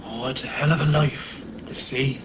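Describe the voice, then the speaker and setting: An actor playing a loyal, consoling Irish sailor, rough but tender, in a radio drama, with a lilting, hearty manner. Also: male voice, steady tone, moderate pace, lightly acted. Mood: resigned. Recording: noisy radio drama with rumbling ambience.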